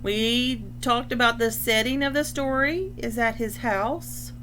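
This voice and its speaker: Bright, high-voiced woman reading a picture book. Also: measured pace, a little hiss or hum. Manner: expressive, explains words simply. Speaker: storybook reader. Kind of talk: children's picture book read-aloud with explanatory asides.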